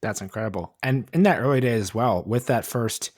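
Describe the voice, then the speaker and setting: A man's voice: medium pitch, fairly quick, breathy edge. A man hosting a podcast, reading a sponsor message and then chatting upbeat with his guest. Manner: upbeat, reads sponsor copy then chats. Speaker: podcast host. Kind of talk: podcast interview and ad read.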